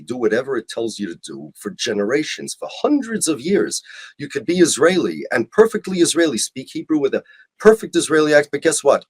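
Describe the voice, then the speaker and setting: A man speaking as an interview guest, arguing emphatically with rhetorical questions and deliberate repetition. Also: medium pitch, husky. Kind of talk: impassioned argumentative interview talk.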